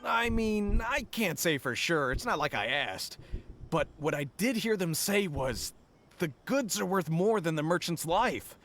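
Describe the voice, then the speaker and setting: An actor playing a nervous, shaken merchant in a video game, recounting events in a rambling way. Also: male voice, sits high, lively intonation, measured pace, fairly bright tone, faint music behind, exaggerated voice. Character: jittery middle-aged merchant man, nervous.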